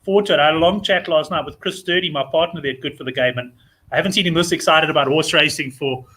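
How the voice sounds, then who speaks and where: A South African man with a raspy voice, hosting a casual, chummy chat show. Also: fairly high pitch, fast talker, pushed and bright. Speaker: show host.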